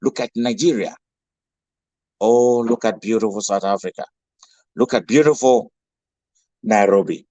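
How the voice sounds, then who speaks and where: A man's voice: on the low side, unhurried, long pauses, warm tone, no room sound, muffled recording. A man from Ghana with a Ghanaian accent, giving a rousing speech as a guest speaker, full of rhetorical questions and repetition. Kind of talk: impassioned speech.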